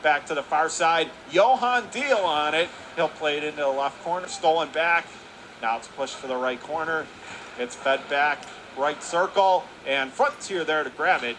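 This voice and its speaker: A man doing radio play-by-play of a hockey game, calling fast and rising sharply into excitement on goals. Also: a little high. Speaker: play-by-play announcer. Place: arena broadcast position. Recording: noisy arena broadcast.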